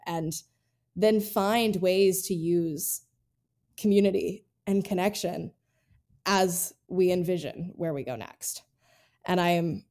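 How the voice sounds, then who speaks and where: A woman's voice: on the low side, warm tone. A woman from North Carolina hosting a podcast, telling personal stories in an earnest, reflective way. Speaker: podcast host. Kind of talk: casual interview chat.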